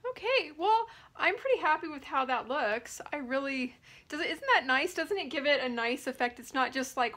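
A woman's voice: high-pitched, fairly bright tone. A woman in her thirties giving a casual hands-on DIY tutorial indoors, thinking aloud informally as she works. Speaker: DIY furniture painting vlogger. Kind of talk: casual tutorial chat while working.

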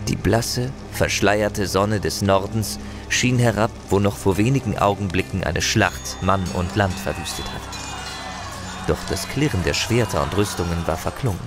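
An actor playing a chronicler, narrating a grim warrior saga in a scripted audio drama. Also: male voice, low-pitched, moderate pace, over music, natural voice. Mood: grave, solemn. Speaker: storyteller narrator.

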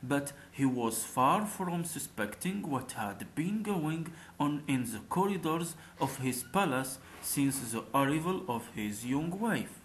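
A man's voice: fairly high pitch, slight background noise, poor audio. A man narrating a scripted folk tale as a storyteller.

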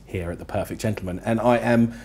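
A man's voice: lowish voice, fast, darkish tone. A young man hosting an interview, polite and eager, a little hesitant with fillers between his questions. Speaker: interviewer, host. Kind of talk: hosted interview. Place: office room with city view window.

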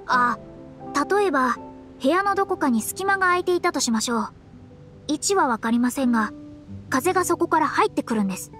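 A proud young astrologer. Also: female voice, high-pitched, expressive, brisk, a bit bright, soft background music. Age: young adult. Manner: polite formal speech, self-assured, slightly lecturing. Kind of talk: game voice acting.

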